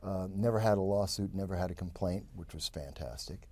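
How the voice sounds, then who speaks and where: A man's voice: deep voice, a little fast, a little mellow. A man, chatting as an interview guest and rambling with many fillers and restarts. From the Bronx, New York. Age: 50s. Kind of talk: casual interview talk.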